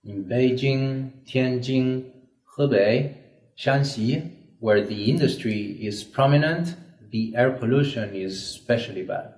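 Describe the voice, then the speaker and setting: A man's voice: medium pitch, takes it slowly, a little mellow. A man from Spain, a university student, talking earnestly in a scripted discussion. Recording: echoey room.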